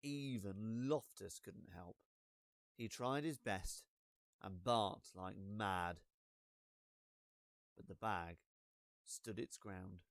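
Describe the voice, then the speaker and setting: A man reading a children's storybook as an expressive narrator, with deliberate dramatic pauses and drawn-out words. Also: fairly low pitch, vocal fry, no room sound.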